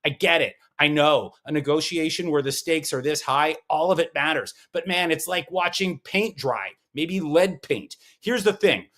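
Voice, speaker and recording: A man's voice: fairly high pitch, moderate pace, few pauses, fairly bright tone. Wry man reading a news brief. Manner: wry, punchy delivery with sarcastic asides. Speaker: podcast host. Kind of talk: scripted news briefing with commentary.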